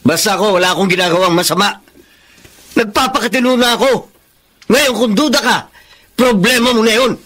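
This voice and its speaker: An actor playing a defensive, pushy, unfaithful husband in a Filipino romantic radio drama. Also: male voice, high-pitched, a little fast, pauses often, fairly bright tone. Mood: defensive, exasperated.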